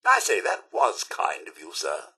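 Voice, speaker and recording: An actor playing a prim, polite robot butler in a video game, with a British accent and theatrical, clipped manners. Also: male voice, deep voice, wildly swooping pitch, a little slow, creaky, bright, forceful tone, husky, full character voice. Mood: chirpy, indignant. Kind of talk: video game character voice lines.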